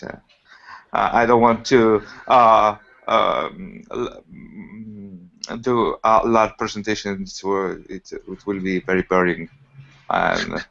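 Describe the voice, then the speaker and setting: A man talking in halting, apologetic English, an IT teacher introducing himself to an online class. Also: fairly low pitch, vocal fry, a bit bright. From born in Italy, lives in Spain. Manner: halting, apologetic, self-deprecating. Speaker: IT teacher, student. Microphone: video call. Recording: muffled video call audio.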